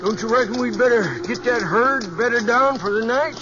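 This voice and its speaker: An actor playing a nosy, shrewd old cowhand in a 1950s radio western, with a folksy American Western drawl. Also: male voice, very high voice, moderate pace. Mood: folksy, curious. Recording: muffled vintage radio, loud music bed.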